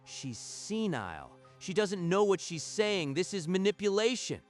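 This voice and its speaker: A man's voice: sits high, sing-song, unhurried. A man playing an arrogant, scheming young villain cousin in a manga dub, indignant and accusing, with music behind him. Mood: outraged, accusing. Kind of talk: anime-style manga dub acting.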